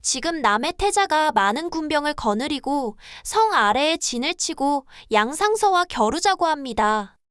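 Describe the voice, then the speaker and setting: A woman's voice: sits high, very animated, normal speed, a bit bright. A woman voicing an urgent palace maid. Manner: urgent, reporting.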